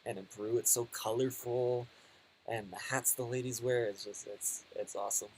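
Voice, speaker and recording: A man's voice: mid-range voice, normal speed, low-quality recording. Man, travel vlogger, live stream host, casual, hesitant fillers, faint background hiss. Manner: relaxed, hesitant, frequent fillers and laughs. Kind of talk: casual live-stream chat reading viewer questions.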